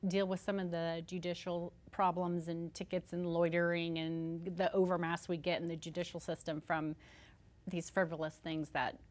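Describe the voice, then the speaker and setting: A woman's voice: lowish voice, flat delivery, normal speed. A middle-aged woman speaking earnestly and emphatically as an interview guest. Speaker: guest author and homeless advocate. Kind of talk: conversational TV interview. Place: furnished living-room style TV studio set.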